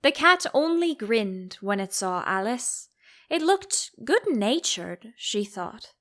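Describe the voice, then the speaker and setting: A woman reading a children's story as an audiobook narrator, in expressive scripted narration. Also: fairly high pitch, takes it slowly.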